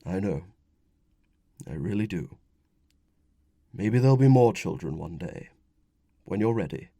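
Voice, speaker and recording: Man playing a gruff, affectionate Roman commander. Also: sits low, lively intonation, a little slow, mellow, no room sound, full character voice. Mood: gentle reasoning. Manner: affectionate, grumbling, authoritative. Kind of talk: audiobook character dialogue.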